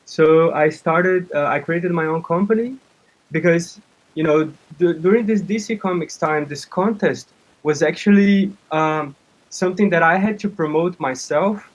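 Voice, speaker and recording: A man in his thirties from Brazil with a Brazilian accent, a native Portuguese speaker, giving casual interview answers in a halting way. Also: a little high, poor audio. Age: 30s. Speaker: interview guest, comic artist and game developer.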